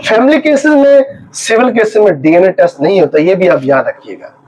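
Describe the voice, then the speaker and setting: A man's voice: high voice, a little fast, a little mellow. A man with a Pakistani accent giving an informal legal lecture as an advocate, explaining and emphatically repeating his key points. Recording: dull, lo-fi muffled audio.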